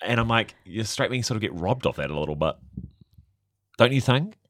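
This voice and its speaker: Animated New Zealand man, lowish voice. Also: fairly quick, no echo, clean studio sound. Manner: animated, self-mocking, laughs a lot. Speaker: guest, comedian. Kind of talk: casual chat.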